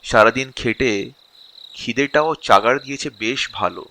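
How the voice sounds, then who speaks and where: A male storyteller with a bright, clear-edged voice reads a scripted tale slowly. He breaks it into short, expressive phrases with dramatic pauses, over faint birdsong and cricket ambience. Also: medium pitch, a little hiss or hum, low-quality recording. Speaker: storyteller, narrator.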